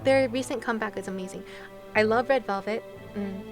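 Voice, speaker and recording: A woman's voice: medium pitch, fairly quick. A young woman hosting a livestream, chatting casually with viewers in a playful, exclaiming, giggly manner. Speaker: livestream host. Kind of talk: casual chat with stream viewers over a game. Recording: game music behind the voice.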